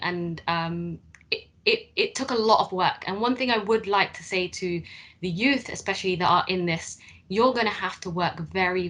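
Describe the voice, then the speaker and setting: A woman's voice: medium pitch, pushed and bright. A young woman, a council apprentice talking earnestly to students about her path on an online panel. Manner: earnest, encouraging, with fillers.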